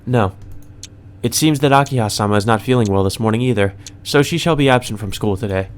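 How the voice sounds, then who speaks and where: A man's voice: on the low side, a little fast, lightly acted. A man voicing a reserved, polite young maid woman who speaks formally, in a visual novel read aloud. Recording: music under the voice.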